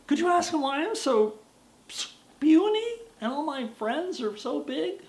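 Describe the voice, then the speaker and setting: Puny scraggly tree, voiced by a storyteller, bright-pitched, gravelly, friendly and curious. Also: sing-song, measured pace, exaggerated voice. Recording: roomy echo.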